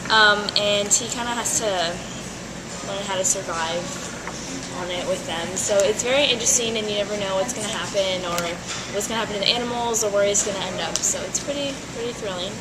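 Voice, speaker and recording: Halting woman on a noisy recording. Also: mid-range voice. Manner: halting, heavy with fillers. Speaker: guest playing an author in a mock interview. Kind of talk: school mock interview. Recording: loud noisy amateur recording.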